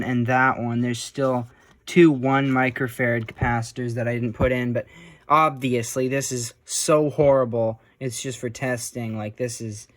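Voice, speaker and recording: A man's voice: medium pitch, fairly bright tone. A man giving a casual, informal narration of hands-on repair work, thinking aloud a little hesitantly as he goes. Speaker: hobbyist restorer presenting a repair.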